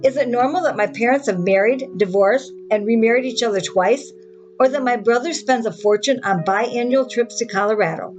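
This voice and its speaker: A woman acts out a defensive, sardonic woman in a screenplay monologue. She is chatty and exasperated, venting in a conversational way at a medium pitch, with music behind her. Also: lightly acted. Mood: indignant, sarcastic. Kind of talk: dramatic monologue in a screenplay reading.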